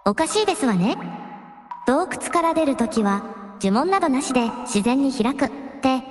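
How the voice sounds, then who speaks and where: High-voiced, refined dragon princess played by a woman. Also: lively intonation, moderate pace. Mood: polite, concerned. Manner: refined, very polite ladylike speech. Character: proud, courteous young dragon princess. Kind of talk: radio drama dialogue. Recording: echoey cave-like reverb, music behind.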